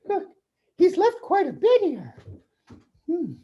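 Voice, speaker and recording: A man voicing a gentle, excitable woman in a puppet show story, full of exclamations. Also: very high voice, slow, highs cut off, pitched above the performer's own voice, full character voice. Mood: excited, gentle.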